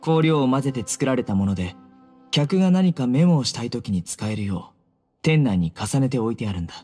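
A man playing a stern, calm young investigator in a game, speaking in a measured, commanding way. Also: mid-range voice, husky, soft background music. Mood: calm, authoritative. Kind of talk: Japanese anime game voice acting.

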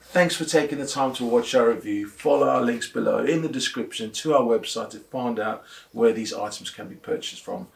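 A man's voice: mid-range voice, normal speed, vocal fry. A man in his forties presenting a scripted product review to camera, explaining things and posing his own questions. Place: indoor workshop studio. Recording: roomy, echoey indoor sound.

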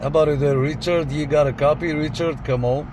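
A man's voice: fairly high pitch, steady tone, brisk, very noisy. A man chatting as a CB radio operator from inside a parked car, repeating his radio phrases. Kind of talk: informal radio contact chat. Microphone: camera beside the dashboard radio.

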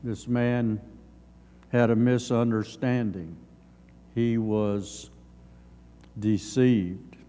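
An older man in his seventies giving a Sunday school Bible lesson, reading from notes in measured, halting short phrases. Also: medium pitch, fairly even intonation, warm tone. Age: older, around 70s. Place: church sanctuary, at a lectern. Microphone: gooseneck lectern microphone. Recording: long hall reverb.

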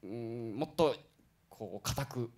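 A man chatting politely as a guest performer on a radio talk show, telling stories excitably. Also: medium pitch, measured pace. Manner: excitable, animated storytelling with acted-out quotes. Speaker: guest performer new to voice acting.

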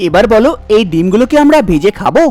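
Woman doing an exclaiming young husband, lowered voice. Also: sing-song, fast talker, warm tone, faint music behind. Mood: startled, annoyed. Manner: exclaiming, questioning. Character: startled, grumbling young husband in a cartoon. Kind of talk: cartoon story character voice.

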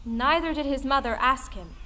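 A woman's voice: high-pitched, normal speed, sharp tone. A woman narrating a folktale audio play as a dramatic storyteller. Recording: long reverb, music underneath.

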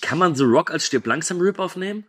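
A man's voice: on the high side, lively intonation, a little fast, fairly bright tone, breathy edge. A man co-hosting a casual film podcast, earnestly giving his opinions and protesting when teased. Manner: earnest, protesting, laughs along. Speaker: podcast co-host.

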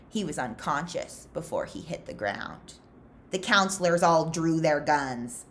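Low-pitched, lively woman reading a story. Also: a little hiss or hum. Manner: expressive, deliberate. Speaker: librarian reading a children's novel aloud. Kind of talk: read-aloud storytelling. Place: small furnished room indoors.

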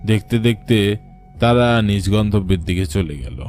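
Low-voiced, frightened village rickshaw puller, acted by a man. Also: animated, normal speed, darkish tone, soft background music, close to an everyday voice. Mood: alarmed, mystified. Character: frightened, weary village rickshaw puller telling his ghost encounter. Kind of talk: dramatic first-person horror story narration for a cartoon.

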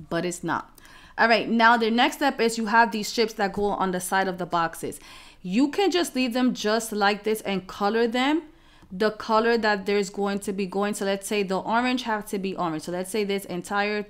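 A woman hosting a craft software tutorial, explaining each step in an informal, casual way. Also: medium pitch, smooth.

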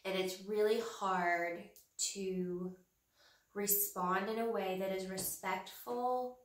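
Woman in her thirties, slightly nasal from a cold, slow and unhurried, roomy sound from a few feet off the mic. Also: mid-range voice, fairly even intonation. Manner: earnest, slow and hesitant with many fillers. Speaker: vlogger. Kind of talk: casual talk to camera. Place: small home office room, indoors. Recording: roomy, slightly distant camera audio.

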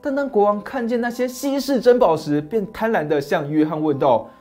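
A man in his thirties narrating a fairy tale and explaining it, steady and expressive. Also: high voice, fairly quick, faint music behind. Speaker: storyteller host. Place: dim study set, indoors. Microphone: lapel mic.

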